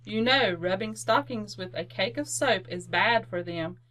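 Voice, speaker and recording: A woman playing a helpful aunt who gives crisp, reassuring, instructive advice in an old-time radio commercial. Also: mid-range voice, measured pace, fairly bright tone, a little hiss or hum, natural voice, low-quality recording. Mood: helpful, cheerful. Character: helpful, reassuring aunt selling laundry soap.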